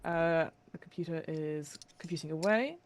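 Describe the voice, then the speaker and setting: A woman's voice: low-pitched, normal speed, noisy background. A woman improvising on a comedy panel, polite and mock-formal as she carefully picks her way through sentences. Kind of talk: casual improvised comedy role-play.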